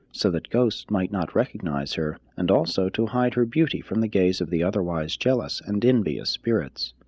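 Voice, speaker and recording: A man reading a scripted expository text aloud like an audiobook, in a formal, measured manner. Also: on the low side, a little mellow, breathy edge, no room sound. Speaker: reader, narrator.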